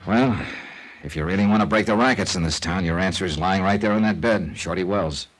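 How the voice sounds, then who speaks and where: Low-voiced hard-boiled American radio detective. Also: male voice, fairly quick, poor audio. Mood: businesslike. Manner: clipped, wry hard-boiled delivery. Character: shrewd, skeptical male insurance investigator, cool hard-boiled narrator.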